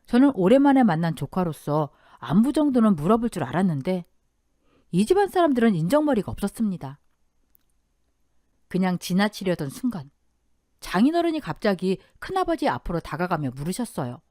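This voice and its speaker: Animated woman narrating a story. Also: medium pitch, a little fast, dry recording, clean studio sound. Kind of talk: scripted first-person story narration.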